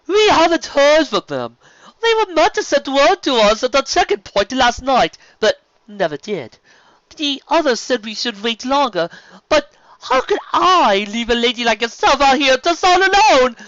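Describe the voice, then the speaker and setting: A narrator voicing a flamboyant, courtly male sea serpent from a storybook, gallant and dramatic, with florid, drawn-out phrasing. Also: high-pitched, very animated, a bit bright, no room sound, highs cut off, voice pushed up. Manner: florid, drawn-out, theatrical delivery.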